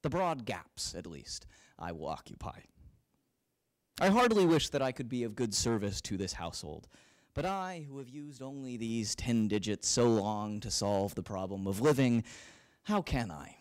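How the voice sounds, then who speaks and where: An earnest, wry nineteenth-century man writing to a friend, read by a young man on stage. His delivery is theatrical and deliberate, with big swings in pitch, heard close and dry on a handheld mic. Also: animated, no echo, close to an everyday voice. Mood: reflective. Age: young adult. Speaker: dramatic reader. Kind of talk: dramatic reading of a 19th-century letter. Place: small library meeting room with audience. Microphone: handheld close to mouth.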